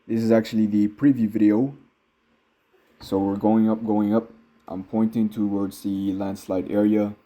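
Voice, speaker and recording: An American man, his accent slightly tinged Filipino, giving relaxed, rambling vlog commentary from inside a car while driving mountain roads. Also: lowish voice, moderate pace. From United States. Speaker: vlogger. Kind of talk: casual driving vlog narration.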